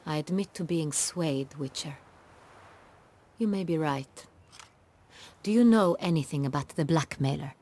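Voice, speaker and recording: Proud ruling duchess, deep female register, regal, formal, strong-willed, fantasy game. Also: measured pace, pauses often, a little mellow. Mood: measured, haughty.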